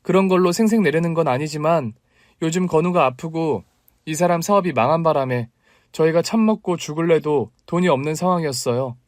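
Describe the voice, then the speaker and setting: Man doing hurt middle-aged wife, woman, indignant, emotional, raised pitch, dry. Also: no echo, close to an everyday voice. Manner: pleading then indignant, emotional.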